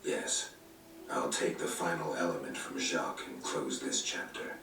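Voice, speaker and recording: A gruff, stoic monster hunter with an extremely deep, gravelly voice. He speaks in terse, understated lines like a weary game hero. The sound is roomy and slightly distant. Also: steady tone, unhurried. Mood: weary, matter-of-fact. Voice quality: gravelly, low. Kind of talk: video game cutscene dialogue.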